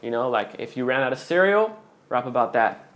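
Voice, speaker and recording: A man's voice: medium pitch, sing-song, fairly quick, a bit bright. A young man coaching rap on a live stream, answering viewer questions aloud in a casual way.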